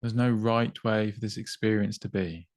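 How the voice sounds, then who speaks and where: A man with a fairly low voice leads a body scan meditation. He gives gentle instructions in short phrases, unhurried, with long silences between them. The sound is dry, with no room around it. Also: steady tone, no echo. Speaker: meditation guide.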